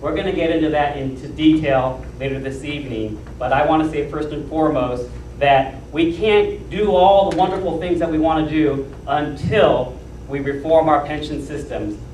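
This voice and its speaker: A man's voice: on the high side, moderate pace, darkish tone. A man gives a formal, emphatic campaign speech as a council candidate, repeating his key phrases. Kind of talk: prepared campaign speech at a forum. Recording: echoey room from far off, faint noise.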